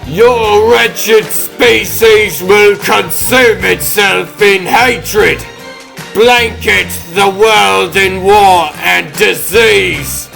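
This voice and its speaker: Villain sorcerer, male: imperious and menacing, very drawn-out pompous lines, music clearly underneath. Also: high voice, fairly bright tone, exaggerated voice, poor audio. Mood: furious. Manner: pompous, grandiose, drawn-out commanding delivery. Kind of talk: dramatic comic dub acting. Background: dramatic music.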